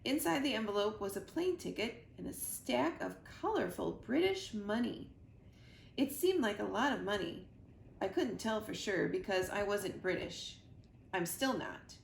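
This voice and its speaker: A woman reading a children's book aloud in an expressive, animated storytelling manner. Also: mid-range voice, unhurried. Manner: animated, acts out dialogue. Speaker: reader of children's books at a library. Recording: echoey room, clear background noise.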